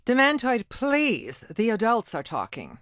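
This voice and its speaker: A woman voicing a self-important, melodramatic gem informant in an animated game, pompous and showy. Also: fairly high pitch, measured pace, no room sound, clean studio sound, exaggerated voice. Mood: exasperated.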